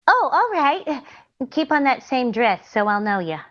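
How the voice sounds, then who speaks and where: Ditzy earnest 40s radio comedy wife, very swoopy voice, bit lo-fi. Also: female voice, sits high, sing-song, measured pace, a bit bright, heavily acted. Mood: pleading, delighted. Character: scatterbrained, sweet, dizzy comedienne wife. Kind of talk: 1940s radio comedy acting.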